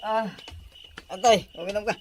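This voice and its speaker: A man's voice: sits high, fairly even intonation, moderate pace, lightly acted. A man playing a sleepy husband in a radio drama, grumbling and brushing off worries. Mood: sleepy dismissal. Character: sleepy, dismissive husband soothing his worried wife.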